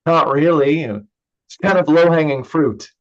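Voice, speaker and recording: A man's voice: a little high, a little slow, lightly acted. A young man playing a blunt, sardonic and wounded son and younger brother in a staged reading of a play, recorded in lo-fi. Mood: nervous, joking. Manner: hesitant, blunt, swearing. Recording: dull, lo-fi online recording.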